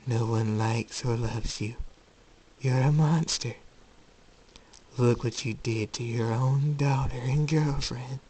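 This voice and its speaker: Insane inner demon for a fan story, male reader acting it: unhurried, sinister, taunting, mid-pitched. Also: fairly even intonation, dark tone, breathy edge, distant mic, poor audio. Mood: cold, accusing. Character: insane sinister voice in a tormented young man's head, taunting.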